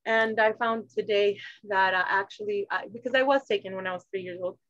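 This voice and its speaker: A woman from Arizona talks in a halting, personal way, restarting her sentences and jumping into lively quoted voices. Her voice sounds dull and lo-fi, with no room around it. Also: medium pitch, dry recording. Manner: halting, restarts, animated when quoting others. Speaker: guest. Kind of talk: casual personal conversation.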